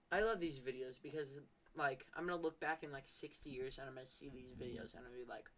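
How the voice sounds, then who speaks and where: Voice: medium pitch, moderate pace, a bit bright, a little hiss or hum. A podcast host casually commenting on their own old videos, with ironic hype and a habit of echoing phrases.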